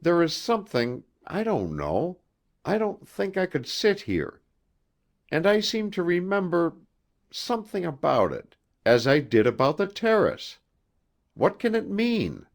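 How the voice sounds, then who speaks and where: A man's voice: high voice, huge pitch swings, creaky, voice pushed up, exaggerated voice. A man voicing an anxious, puzzled young wife in an audiobook, speaking hesitantly. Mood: uneasy, puzzled. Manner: hesitant, halting. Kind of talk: dramatised audiobook reading.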